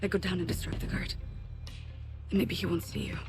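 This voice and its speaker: An actress playing a calm, resourceful resistance woman speaking directly in a game cutscene. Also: fairly low pitch, a little fast, close to an everyday voice. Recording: game cutscene audio over music.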